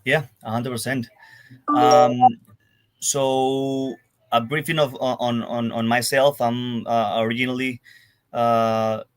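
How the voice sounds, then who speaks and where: A man from Venezuela, a guest explaining his security firm's work in a casual interview, talking haltingly with many restarts and fillers. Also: mid-range voice, flat delivery, soft background music. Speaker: guest, security firm partnerships manager. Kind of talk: casual interview on a live audio space.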